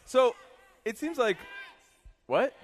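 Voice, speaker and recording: An American man hosting a casual political panel, speaking in a wry, animated way. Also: extremely high pitch, slow pace, crowd noise, noisy background. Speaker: podcast host moderating a panel. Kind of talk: casual political panel talk before an audience.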